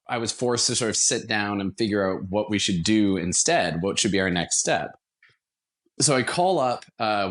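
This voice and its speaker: A man's voice: fairly low pitch, fairly bright tone. A man in his twenties from the United States, speaking as a podcast guest and telling anecdotes conversationally with light, self-deprecating humor. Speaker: podcast guest, actor and theater company founder. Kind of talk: conversational interview storytelling.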